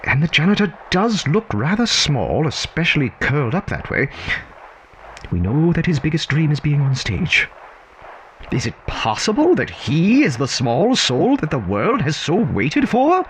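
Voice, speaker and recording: An actor playing a whimsical, confiding storyteller, narrating a scripted audio drama with halting, theatrical pauses. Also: male voice, fairly high pitch, wildly swooping pitch, a bit bright, slight background noise, heavily acted, poor audio.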